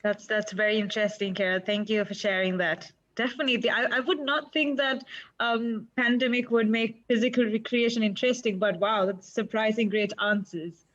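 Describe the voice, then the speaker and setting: A woman's voice: medium pitch, fairly even intonation, moderate pace, a bit bright. Young woman, webinar moderator, encouraging, muffled video-call sound. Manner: encouraging, asks follow-up questions. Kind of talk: moderating an online panel.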